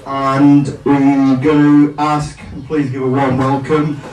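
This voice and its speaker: A man's voice: medium pitch, completely flat delivery, takes it slowly, darkish tone. A man hosting a fan evening, thanking the supporters and introducing the guests. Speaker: event host. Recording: echoey room, far from the mic, crowd behind.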